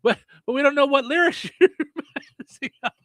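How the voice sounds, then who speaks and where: A man's voice: very high-pitched, fairly quick, no room sound, clean studio sound. A man hosting a radio show, reading listener comments aloud and chatting playfully with his co-host. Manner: lively, playful, lots of affirming murmurs. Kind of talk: casual chat.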